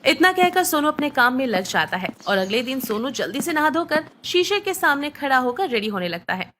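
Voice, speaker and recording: A woman narrates a scripted bedtime story. Her voice sits fairly high, and there is noticeable noise in the background behind her. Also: big pitch swings, brisk, fairly bright tone.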